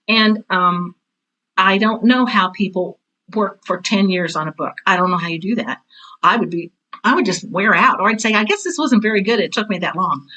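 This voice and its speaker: A woman's voice: medium pitch, normal speed, pushed and bright, muffled recording. A middle-aged or older woman telling personal stories as an interview guest, candid and emphatic, repeating phrases for stress. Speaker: interview guest, theater teacher and author. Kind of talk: casual personal storytelling in an interview.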